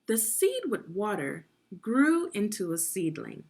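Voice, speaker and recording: Slow, lively woman reading along for children. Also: medium pitch, slightly rough. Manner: instructional, prompting listeners with questions. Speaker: teacher leading a children's read-along. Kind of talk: guided read-aloud of a children's science book for young learners.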